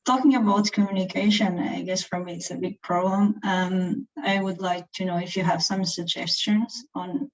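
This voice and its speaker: A woman's voice: lowish voice, steady tone, normal speed, few pauses. A woman in the audience, asking a question politely and searching for her words. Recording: distant, dull-sounding call audio.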